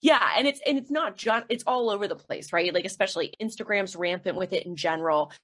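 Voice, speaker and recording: A woman's voice: medium pitch, fast, fairly bright tone. A woman chatting as a podcast guest, laughing often and acting out the people she quotes. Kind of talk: casual interview chat.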